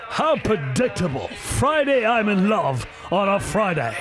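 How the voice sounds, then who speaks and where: A man's voice: high voice, very animated, unhurried, over music. An actor playing an opinionated, mocking Irish breakfast radio presenter in his late forties, in a comic radio play. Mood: breezy. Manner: mocking, dismissive. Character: opinionated, sardonic 49-year-old breakfast radio presenter man.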